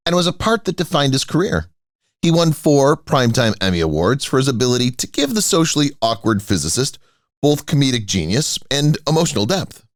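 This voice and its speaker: A man's voice: medium pitch, dry recording, studio quality. A man reading a scripted celebrity retrospective as a voice-over, lifting each name as a heading. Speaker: narrator.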